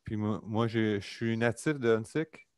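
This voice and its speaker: Québécois man, low steady voice, smooth and dry, earnest delivery with hesitant fillers, on a remote call. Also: a little slow, dry recording. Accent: Québécois French. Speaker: public hearing participant. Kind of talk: formal public statement. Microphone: video or phone call.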